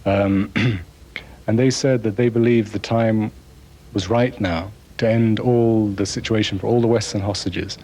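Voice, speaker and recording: Older male interviewee, low dark tone, halting delivery full of pauses. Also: fairly even intonation. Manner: halting, many hesitations. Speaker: released hostage. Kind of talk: press interview.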